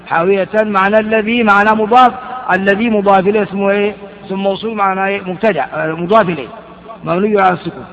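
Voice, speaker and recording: A man teaching a grammar class. He keeps asking his listeners quick questions and answering them himself, and he often stumbles and restarts his words. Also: high-pitched, fairly bright tone. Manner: halting, with repeated false starts. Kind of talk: classroom lesson explaining verse on grammar. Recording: dull lo-fi recording with long hall reverb.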